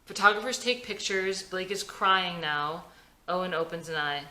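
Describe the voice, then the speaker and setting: Female script reader for screenplay narration, lowish and bright, lively intonation, echoey room. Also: moderate pace. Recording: roomy, echoey sound.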